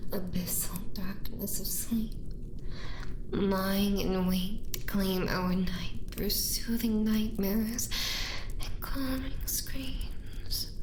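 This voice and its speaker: A woman's voice: mid-range voice, background noise, natural voice. Woman playing a whispering, doting villain wife. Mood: sinister, soothing. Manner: florid, theatrical, adoring, savoring each word. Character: doting, bloodthirsty dark villain wife, theatrically devoted. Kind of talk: ASMR roleplay, whispered and breathy, dramatic. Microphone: close binaural microphone.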